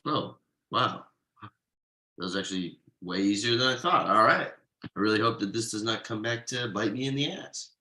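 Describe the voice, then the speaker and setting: A man playing an exasperated, uptight workaholic father with halting, sardonic delivery in a comedy table read. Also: fairly low pitch, pushed and bright. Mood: wary relief.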